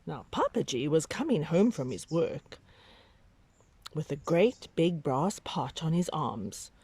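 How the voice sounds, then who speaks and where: A woman reading a picture book aloud to young children, with dramatic, drawn-out emphasis and hushed whispery moments. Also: deep voice, sing-song, husky, no room sound.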